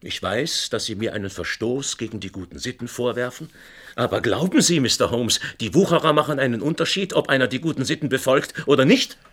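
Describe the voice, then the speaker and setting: A man acting in a radio drama as a proud, debt-ridden gentleman who confesses in a formal, grave, explanatory manner. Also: mid-range voice, lively intonation, a little fast, breathy edge. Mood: agitated, defensive. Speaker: actor in a radio play.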